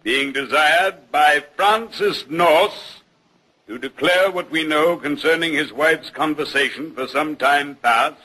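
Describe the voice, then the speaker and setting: A man's voice: fairly high pitch, sharp tone, husky, heavily acted, rough recording. An actor playing a solemn village man formally reading to a court in an old radio drama. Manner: deliberate, formal.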